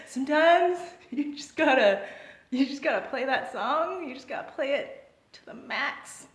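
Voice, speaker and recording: A woman's voice: high-pitched, expressive, slow pace, long pauses. A woman vlogger telling a funny story from her past in a casual way, hesitant and full of fillers, often laughing. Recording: echoey room.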